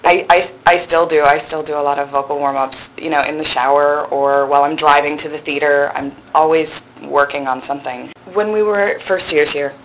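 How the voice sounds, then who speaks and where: A young woman in her twenties with a low, resonant voice, a drama graduate student answering interview questions thoughtfully, with frequent pauses and restarts. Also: steady tone, slight background noise, rough recording. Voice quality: low voice with strong body resonance. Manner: thoughtful, hesitant, many fillers and restarts. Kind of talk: reflective conversational interview.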